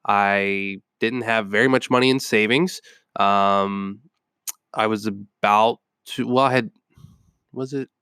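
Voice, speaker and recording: A man's voice: lowish voice, fairly even intonation, takes it slowly, pushed and bright, smooth, dry recording, clean studio sound. A young adult man with a stuffed-up cold, hosting a podcast and telling a personal story in a rambling way with many ums. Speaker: podcast host. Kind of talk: casual personal storytelling monologue.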